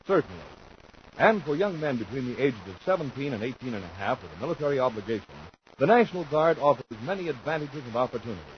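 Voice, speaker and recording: A man announcing and narrating in emphatic, deliberate 1950s radio style, scripted and dramatic. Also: mid-range voice, lively intonation. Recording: dull 1950s radio recording, faint noise.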